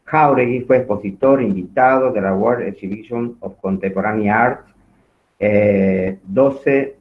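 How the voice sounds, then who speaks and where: A man with a fairly low voice acts as host, reading a formal introduction aloud. His delivery is halting and trips on foreign words, and the sound is thin, like a phone call. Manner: halting, stumbles over foreign names. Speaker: host introducing a guest lecturer. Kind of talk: reading out a formal biography in an online event.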